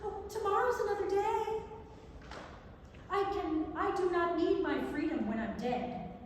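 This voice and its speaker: A woman's voice: extremely high pitch, lively intonation, a little slow. A woman giving an emphatic, declamatory poetry recital on stage. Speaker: stage reader. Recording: echoey theater, distant mic, faint noise.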